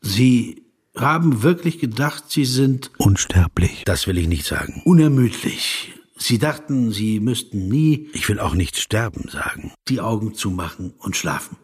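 An actor playing a reflective, sceptical yet compassionate questioner in a radio drama, speaking in a measured, insistent way and slipping into inner narration. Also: male voice, on the low side, very animated, slightly rough, exaggerated voice. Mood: thoughtful, probing. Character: reflective male interviewer of a famous man, probing, sceptical, compassionate.

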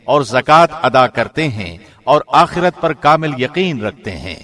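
Measured man reading scripture, medium pitch. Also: lively intonation, fairly bright tone. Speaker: translation reader, radio host.

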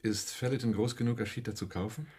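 An actor in a radio play playing a wry, watchful accomplice with a dry, understated delivery. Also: male voice, mid-range voice, fast talker, darkish tone, slight background noise, natural voice. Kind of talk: 1980s German radio play acting.

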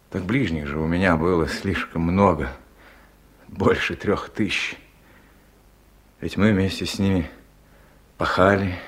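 A low-voiced older man delivers a radio play monologue as an honest, weary farm chairman. He speaks very slowly and pensively, with a husky tone and halting, solemn phrasing. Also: heavily acted.

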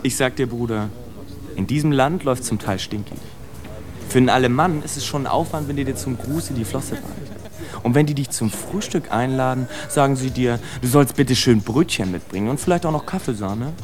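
A man's voice: mid-range voice, normal speed, darkish tone, lightly acted. Actor as sardonic streetwise immigrant man, griping. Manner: sardonic, colloquial, complaining. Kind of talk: radio drama monologue.

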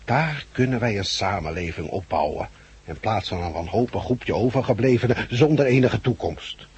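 A man acting a blunt, loudmouthed labour agitator turned survivor leader in a 1960s radio play, forceful and lecturing. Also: lowish voice, expressive, moderate pace, breathy edge, full character voice. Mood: earnest, lecturing. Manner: forceful, lecturing, coarse-tongued. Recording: muffled-edged 1969 radio play recording.

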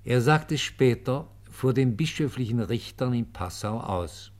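A man narrating a scripted radio biography in a sober, measured, documentary-like manner. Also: mid-range voice, expressive, darkish tone.

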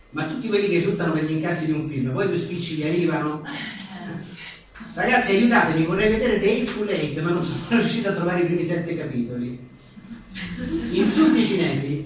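Echoey, higher-pitched man joking drily. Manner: wry, joking, with offhand asides. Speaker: journalist and author presenting his book. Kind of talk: reading out comic one-liners at a live book presentation. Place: live book presentation in a reverberant room. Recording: echoey room, distant mic, faint noise.

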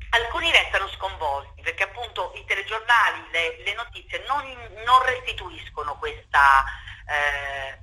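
Very bright-voiced woman, talkative guest. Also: medium pitch, rough recording. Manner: talkative, emphatic, rambling with rhetorical tags. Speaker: theatre director, interview guest. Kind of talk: spontaneous phone interview.

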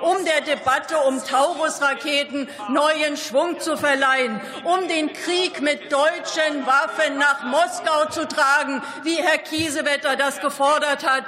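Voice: sits high, steady tone, unhurried, hardly any pauses, bright, forceful tone. A politician delivering a fiery speech in an indignant, accusatory manner, full of rhetorical questions. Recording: echoey hall with long reverb.

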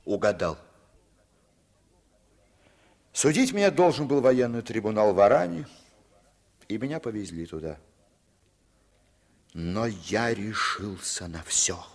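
A hunted German deserter from the legion in a 1950s radio drama, voice low and slow. He tells his escape and begs the boys not to betray him, dropping to hushed whispers. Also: animated. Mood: tense.

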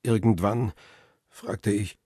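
An actor playing a world-weary photographer who narrates his ordeal in a radio crime play, sombre and deliberate. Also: fairly low pitch, fairly even intonation, warm tone, breathy edge, no echo, studio quality. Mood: sombre, intense. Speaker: first-person narrator. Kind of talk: dramatic scripted narration.

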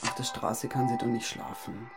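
A woman's voice: very deep voice, little pitch movement, moderate pace, loud music behind the voice. An actress playing a sociable, helpful young Munich woman in German radio drama dialogue. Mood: persuading, informal.

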